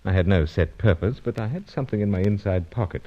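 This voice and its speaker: An actor playing a suave, earnest British secret agent in an old radio adventure serial, with deliberate, clipped, dramatic delivery. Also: male voice, lowish voice, close to an everyday voice. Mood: wistful, reflective. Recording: dull lo-fi old radio recording.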